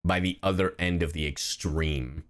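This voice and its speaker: A man streaming live reaction commentary, casual and expressive, slangy and swearing freely. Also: low voice, moderate pace. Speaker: streamer, host.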